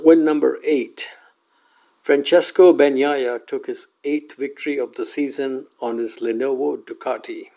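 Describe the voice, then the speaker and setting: A man's voice: medium pitch, vocal fry, dark tone. A man presenting a scripted news roundup, lifting his voice at each new item. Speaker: segment presenter.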